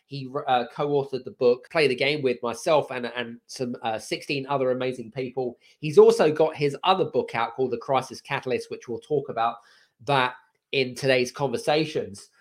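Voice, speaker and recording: A man hosting a podcast with a croaky voice, energetic and rambling, with many fillers and restarts. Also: medium pitch, moderate pace. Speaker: podcast host. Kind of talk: casual chat.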